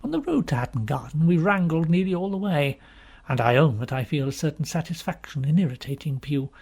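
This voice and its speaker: A man's voice: a little high, lively intonation, a little fast, vocal fry, darkish tone, husky. A man reading scripted story narration as an audiobook narrator.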